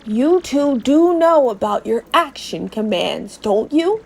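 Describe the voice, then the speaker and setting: A woman's voice: fairly high pitch, big pitch swings, takes it slowly, gravelly, husky, heavily acted. A woman voicing a fussy elderly male professor in a video game, with hesitant, old-fashioned, teasing phrasing. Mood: cautious, needling.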